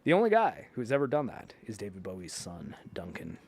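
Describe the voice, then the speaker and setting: A man hosting a video, giving casual, rambling film and TV commentary in an informal, emphatic way, often halting mid-thought. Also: on the low side, wildly swooping pitch, vocal fry, slightly rough. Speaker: video host.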